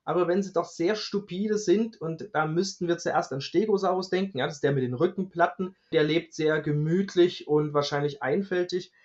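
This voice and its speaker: Male guest researcher, voice on the high side, matter-of-fact explaining, mildly muffled recording. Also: normal speed, hardly any pauses, darkish tone. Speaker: dinosaur researcher, guest expert. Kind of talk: explanatory interview answers. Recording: slightly dull, lo-fi sound.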